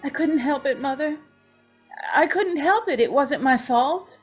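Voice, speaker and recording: A woman's voice: high-pitched, animated, unhurried, faint music behind. A woman playing a troubled, pleading young woman in an old-time radio drama, speaking hesitantly and with emotion. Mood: weeping, pleading. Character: distraught young condemned woman confessing to dead mother.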